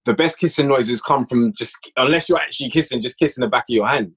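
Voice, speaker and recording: A man's voice: medium pitch, fast talker, a bit bright, breathy edge. A man speaking casually as an interview guest on a podcast, an audio drama writer who repeats phrases for emphasis.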